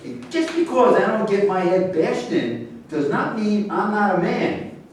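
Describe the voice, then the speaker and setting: A man in a staged play reading, playing a fastidious, mocking and defensive golf star. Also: sits high, lively intonation. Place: echoey indoor room at a staged reading. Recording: distant, very echoey room.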